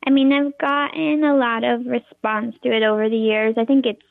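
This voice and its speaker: A young woman with a high voice, a performer and teacher, talking in an interview with lots of hesitant fillers and restarts. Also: level tone, moderate pace, creaky, dry recording. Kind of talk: interview conversation. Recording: tinny phone-like line, dry.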